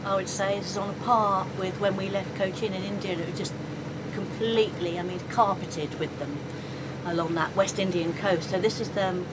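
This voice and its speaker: A middle-aged woman talking plainly to camera outdoors on a sailboat. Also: mid-range voice. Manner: plainspoken, matter-of-fact. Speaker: sailing vlogger. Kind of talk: casual on-camera talk. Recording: clear wind and boat noise.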